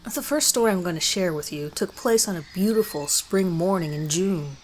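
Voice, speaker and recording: A woman reads a scripted first-person horror story in a measured, deliberate way with dramatic pauses. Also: fairly low pitch, expressive, low-quality recording. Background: background music.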